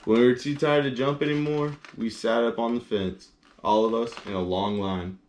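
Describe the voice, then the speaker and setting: A man's voice: mid-range voice, steady tone, normal speed, darkish tone, slight background noise. A man reading children's picture books aloud as a storyteller, in simple language with short explanatory asides.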